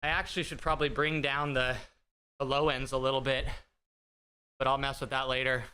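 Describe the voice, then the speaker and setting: A man hosting a live stream, chatting casually with viewers in a bright, higher-pitched voice, rambling and self-deprecating with lots of fillers. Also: level tone, brisk, smooth. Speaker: livestream host. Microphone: desk streaming mic through an audio mixer.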